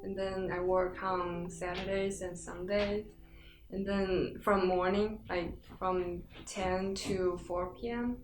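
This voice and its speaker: A young woman guest on a podcast, soft-spoken and gentle, speaking hesitantly in casual chat. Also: fairly low pitch, flat delivery, slow, reverberant, background noise. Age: young adult.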